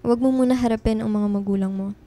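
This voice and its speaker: Soft-toned young woman, highish voice, acted by a woman. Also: fairly even intonation, quick pace, close to an everyday voice. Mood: comforting. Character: young single woman, caring, easily flustered loyal friend. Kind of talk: Filipino radio drama acting.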